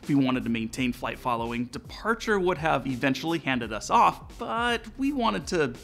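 A man's voice: medium pitch, very animated, moderate pace, gravelly, a bit bright. An American man in his forties hosting, giving a scripted explanation to viewers over background music. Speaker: aviation channel host. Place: indoor studio room with aviation decor.

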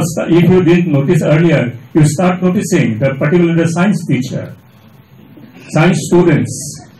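Lively older Indian-accented man in echoey hall. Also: a little high. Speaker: panelist answering audience questions. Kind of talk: public panel discussion. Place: large indoor auditorium. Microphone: handheld PA mic, heard from the audience camera. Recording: echoey hall PA, camera from a distance.

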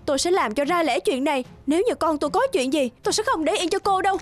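A woman voicing a busy, brusque young mother in an animated drama, curt and quick to blame. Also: extremely high pitch, soft background music. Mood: angry, accusing. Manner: curt, accusatory.

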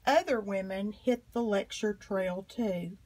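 A woman reading a children's picture book aloud with expressive, emphatic phrasing. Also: mid-range voice, takes it slowly. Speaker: storybook reader.

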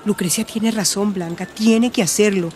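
An actress with a Colombian accent playing a caring but firm friend in a radio soap, earnest and persuasive. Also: female voice, mid-range voice, steady tone, normal speed, a little mellow, a little hiss or hum, natural voice. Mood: firm, urging. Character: caring, firm woman who counsels friends. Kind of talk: radio soap opera acting.

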